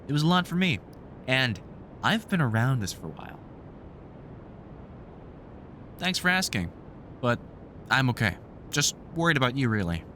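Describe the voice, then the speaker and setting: A man acts out an older male vampire hunter in a conversational audio drama. His mid-range voice rises and falls freely as he reassures and cautions like a protective, wry mentor who swears casually. A faint low rumble sits behind the voice. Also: fairly bright tone. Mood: gentle concern. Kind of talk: one-sided audio roleplay, conversational comfort scene.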